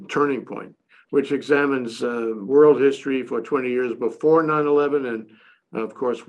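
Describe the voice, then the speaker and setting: A man's voice: medium pitch, creaky, low-quality recording. A man hosting a talk show, joking and rambling with many fillers as he poses a question.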